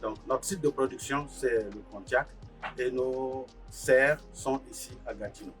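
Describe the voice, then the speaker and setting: A man tells his story in an interview, pausing often on filler sounds. His voice sits at an average pitch and sounds a little distant from the mic, with faint music underneath. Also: fairly bright tone. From Burkina Faso. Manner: hesitant, lots of filler sounds. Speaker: agricultural technician, farm co-founder. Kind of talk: interview testimony.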